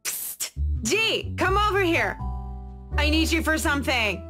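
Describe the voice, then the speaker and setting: A man's voice: extremely high pitch, big pitch swings, measured pace, pushed and bright, faint music behind, pitched above the performer's own voice, heavily acted. A man voicing a drunk, whiny young woman at a bar, calling out in a pleading, slurred way. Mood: tipsy, insistent.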